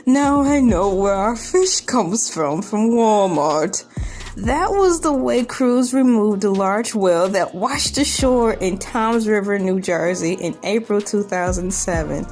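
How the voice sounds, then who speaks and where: A woman reading news aloud as a humorous online creator, dropping in sarcastic, joking asides with theatrical, drawn-out emphasis. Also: mid-range voice, lively intonation, a little mellow. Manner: sarcastic, joking asides between read lines. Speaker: online video creator reacting to a news article. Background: background music.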